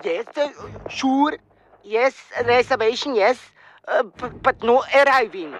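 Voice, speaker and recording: An actress playing an apologetic foreign hotel clerk, hesitant and in broken English, in a radio play. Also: female voice, fairly high pitch, takes it slowly, highs cut off, slight background noise. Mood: flustered.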